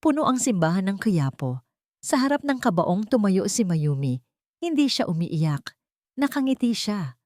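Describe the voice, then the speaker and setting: A woman's voice: mid-range voice, big pitch swings, moderate pace, darkish tone, no room sound, studio quality. A woman with a Filipino accent narrating a scripted dramatic story.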